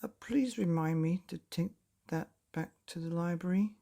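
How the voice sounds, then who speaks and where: A man voicing an excitable, hapless father in a children's storybook, gushing and exclamatory. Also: high voice, normal speed, vocal fry, pitched above the performer's own voice. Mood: dazed.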